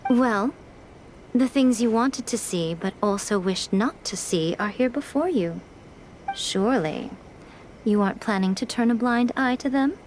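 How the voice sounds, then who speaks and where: A woman's voice: a little high, animated, a little slow, darkish tone, a little hiss or hum, poor audio. A woman voicing a sly, elegant fox shrine maiden in a video game, poised, knowing and teasing. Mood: knowing, persuasive.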